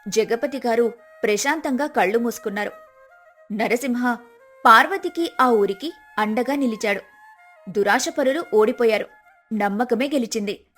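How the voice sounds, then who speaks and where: A woman's voice: fairly high pitch, normal speed, fairly bright tone, soft background music. A woman narrating a scripted moral folk tale as a storyteller.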